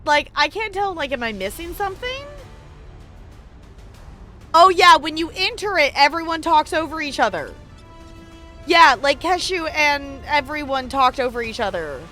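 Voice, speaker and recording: A woman's voice: high voice, very animated, moderate pace, pauses often, sharp tone, faint music behind. A woman chatting casually and animatedly as she streams a video game live and talks to her viewers. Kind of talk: casual live stream chat with gameplay commentary.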